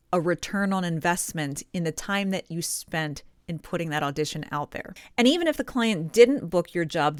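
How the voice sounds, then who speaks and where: A woman's voice: on the low side, smooth, no room sound, clean studio sound. A woman giving encouraging, explanatory advice straight to viewers as a video host, stressing her key points. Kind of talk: direct-to-viewer coaching talk. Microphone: close studio condenser microphone.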